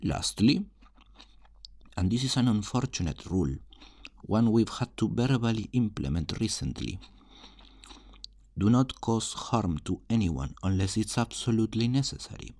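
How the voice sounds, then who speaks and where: A man playing a charming, roguish fantasy thief in an ASMR roleplay, flirtatious, teasing and theatrical in his smooth talk. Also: lowish voice, lively intonation, normal speed, long pauses, darkish tone, dry recording. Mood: wry instructing. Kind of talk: ASMR roleplay, scripted character acting.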